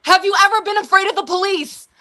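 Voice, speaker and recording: Impassioned bright high-voiced woman. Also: fast talker. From Denver, Colorado, USA. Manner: impassioned, pressing rhetorical questions. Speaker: panelist. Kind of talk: heated panel debate.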